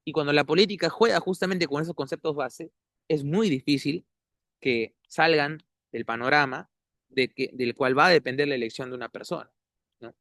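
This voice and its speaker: A man, a guest giving measured, academic analysis, often ending points with tag questions. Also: a little high, a bit bright, no room sound, clean studio sound. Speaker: interview guest. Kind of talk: analytical conversational commentary.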